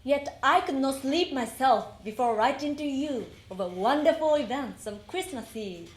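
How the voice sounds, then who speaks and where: A woman giving a deliberate, expressive dramatic reading of a wartime letter for a readers theater. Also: high-pitched. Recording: echoey room.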